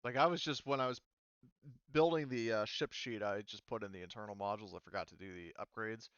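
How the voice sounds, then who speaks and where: Halting, clear-voiced man in dull audio. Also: lowish voice, a bit bright, no room sound. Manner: halting, explanatory, hedging. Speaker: game master. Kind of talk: explaining tabletop game rules in casual chat.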